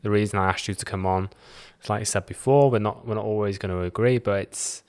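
A fairly low-pitched man in his thirties with a Scouse accent and a slight Welsh tinge. He hosts a podcast in an informal, matey way, speaking close to a studio microphone. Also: steady tone, quick pace, smooth. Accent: Merseyside with a slight Welsh tinge. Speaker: podcast host. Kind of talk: casual podcast interview. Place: small acoustically treated podcast studio. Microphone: close studio microphone on a boom arm.

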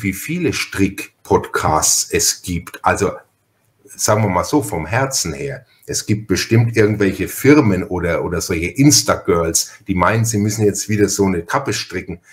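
Franconian guy hosting a podcast, lowish voice, folksy and chatty, repeats words as he goes. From Franconia, Germany. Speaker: podcast host, interviewer. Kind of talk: casual interview chat with storytelling.

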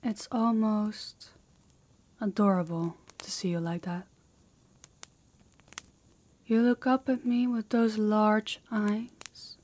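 Female demon, played by a woman, audio roleplay: harsh, commanding, possessive, unhurried delivery. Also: mid-range voice, fairly even intonation, darkish tone. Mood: taunting, possessive. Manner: slow, haughty, commanding, taunting. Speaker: voice actor. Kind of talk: intimate scripted audio roleplay.

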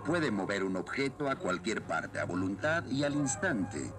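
A man's voice: on the low side, very animated, a little slow. A man playing a stern, fatherly middle-aged soldier and inventor in a cartoon dub, speaking authoritatively with a Latin American Spanish accent. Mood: confident. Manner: authoritative, explanatory. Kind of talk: dubbed cartoon acting. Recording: music behind the dialogue.

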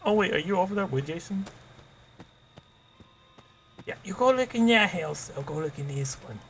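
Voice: mid-range voice, expressive, moderate pace, slight background noise. A gamer chatting casually during online play in a silly, joking, sweary manner, with music behind. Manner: playful, silly, often laughing, swearing. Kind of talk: casual joking gameplay chat.

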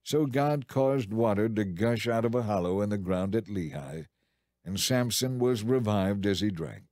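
A man narrating a dramatized Bible story in a measured, scripted storytelling style. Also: fairly low pitch, lively intonation, darkish tone, no room sound, studio quality.

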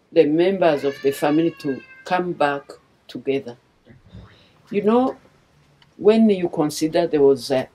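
Slow, low-voiced older Rwandan woman. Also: mellow, poor audio. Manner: halting, deliberate, earnest. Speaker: interviewee, former liberation movement member. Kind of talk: personal testimony in an interview.

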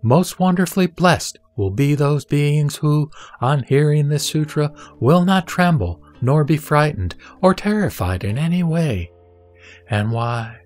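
A man playing a serene, authoritative spiritual teacher, measured and didactic, in a scripted sutra audio drama. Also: fairly high pitch, expressive, darkish tone, soft background music. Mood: solemn teaching. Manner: solemn, measured, didactic delivery. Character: the Buddha, serene, authoritative male spiritual teacher instructing a disciple.

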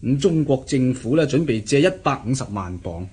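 Radio drama interpreter: chatty, self-assured male insider, animated delivery with a husky edge. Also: mid-range voice, moderate pace. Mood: confiding, pleased. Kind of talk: Cantonese radio drama acting.

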